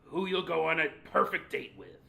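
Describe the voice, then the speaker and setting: A man's voice: a little high, sing-song, normal speed, vocal fry, sharp tone, full character voice. A man playing a flamboyant, pushy dating show announcer with exaggerated, dramatic delivery. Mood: pressing. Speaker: game show host. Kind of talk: theatrical game show announcing.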